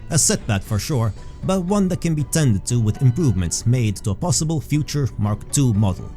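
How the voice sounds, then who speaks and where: A man narrating a scripted fantasy story as a measured storyteller. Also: medium pitch, sing-song, warm tone. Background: background music.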